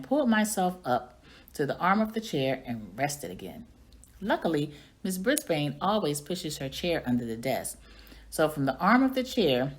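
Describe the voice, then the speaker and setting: A woman reading a children's story aloud in an expressive storytelling manner. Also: low voice. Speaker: reading coach or teacher reading aloud.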